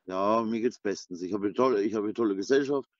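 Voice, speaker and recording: A man's voice: on the low side, fast talker, no echo, lo-fi sound. A man chatting casually in a slangy, sweary, rambling way. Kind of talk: casual chat, voice messages and phone talk.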